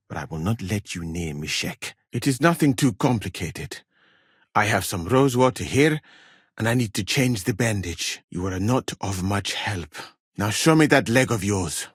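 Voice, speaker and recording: Game role: proud, wry scholar-physician, formal courteous phrasing, husky and expressive, recorded dry. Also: male voice, on the low side, dry recording. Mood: grave, apologetic, then calm, polite. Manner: formal, measured, uncontracted speech with wry politeness. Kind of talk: video game character dialogue.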